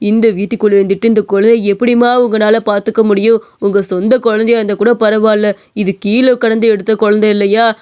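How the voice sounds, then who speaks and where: Casting: man as righteous cartoon village chief, very high clear voice, lecturing. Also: little pitch movement, fast, hardly any pauses, a little mellow, lightly acted. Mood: stern, persuasive. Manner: lecturing, emphatic. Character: authoritative male village headman, righteous and moralising. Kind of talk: cartoon story dialogue.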